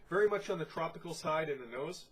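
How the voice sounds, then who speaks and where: A congested man giving a casual, descriptive beer tasting review, unhurried and full of "you know" fillers. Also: a little high, animated, a bit bright, husky.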